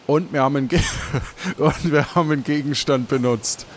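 A man's voice: on the high side, normal speed. Casual man guiding a game, noisy background. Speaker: game master and player in an improvised pirate adventure. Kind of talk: casual improvised role-play storytelling.

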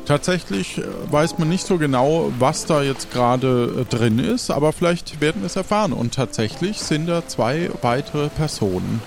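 A man narrating an improvised pirate adventure as game master, pausing as he invents the scenes. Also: on the high side, expressive, gravelly, faint music behind.

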